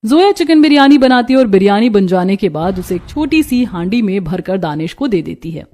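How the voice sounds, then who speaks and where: A woman's voice: mid-range voice, lively intonation, fairly quick, warm tone. A woman narrating a scripted cartoon story.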